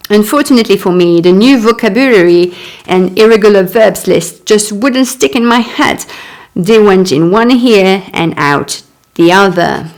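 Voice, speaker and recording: A woman in her thirties whose first language is French, reading her own memoir aloud and stopping for chatty asides. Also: medium pitch. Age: 30s. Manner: unhurried reading broken by chatty asides and little laughs. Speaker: author.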